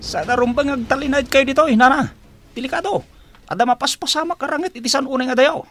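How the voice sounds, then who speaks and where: A man's voice: extremely high pitch, quick pace, fairly bright tone, faint music behind. An Ilocano Filipino man playing a firm policeman warning people away in a radio drama. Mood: urgent, firm.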